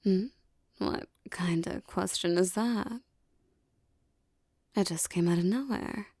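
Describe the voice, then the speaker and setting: A woman playing a tough but affectionate female mafia boss in an intimate ASMR roleplay, with teasing, streetwise talk and a soft voice. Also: fairly low pitch, takes it slowly, slightly rough, no room sound, clean studio sound. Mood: fond, teasing.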